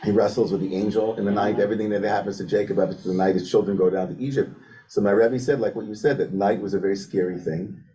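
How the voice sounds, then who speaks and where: A man with a lower-than-usual, mellow voice leads a study group. He thinks out loud, doubling back and filling gaps with "like" as he builds his point. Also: steady tone, fast talker, rough recording. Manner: thinks aloud with many restarts and "like" fillers. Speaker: rabbi leading a study session. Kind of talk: animated teaching discussion. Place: synagogue room with a Zoom link.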